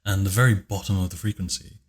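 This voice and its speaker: A man with a very deep, low voice, chatting casually in a commentary on his own video, speaking softly and hesitantly with many fillers. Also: steady tone, fast talker. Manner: soft-spoken, hesitant, with many fillers and restarts. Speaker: video creator giving commentary.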